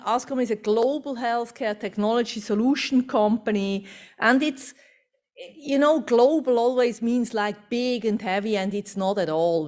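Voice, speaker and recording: Swiss-accented woman giving a talk. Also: medium pitch. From Switzerland. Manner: fluent non-native English with fillers, upbeat. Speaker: CEO presenting. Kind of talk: conference presentation.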